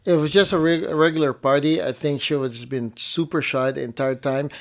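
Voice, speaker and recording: A man's voice: on the high side, fairly even intonation, brisk. A young man talking as a parent co-host in casual storytelling, often repeating and rephrasing phrases for emphasis. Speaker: parent co-hosting a family show.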